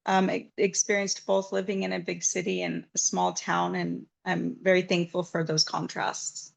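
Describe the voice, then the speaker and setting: Woman from southern nevada, low voice, gravelly, earnest and reflective interview guest. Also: level tone, normal speed. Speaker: massage therapist, guest. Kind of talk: interview, personal storytelling.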